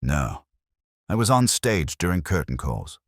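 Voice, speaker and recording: A man voicing an anxious, soft-spoken young understudy actress in an audiobook detective story, hesitant in manner. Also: low voice, sing-song, measured pace, dry recording, clean studio sound, heavily acted. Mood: wistful, uneasy.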